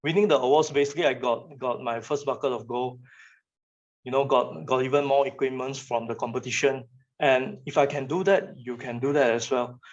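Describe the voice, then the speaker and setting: A man's voice: medium pitch, steady tone, a little fast, lo-fi sound. A young man from Malaysia with a Malaysian English accent, Chinese his first language, giving a casual motivational talk to students and often repeating words as he restarts.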